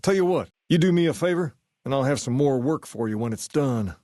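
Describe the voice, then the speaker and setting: A man's voice: medium pitch, lively intonation, a little fast, mellow, no echo, lightly acted. Man acting a folksy Southern gang boss in a dry booth. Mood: measured, confiding. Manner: folksy, easygoing, self-assured. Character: suave male gang boss styled on a rock-and-roll king. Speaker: leader of a post-apocalyptic gang. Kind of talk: video game character dialogue.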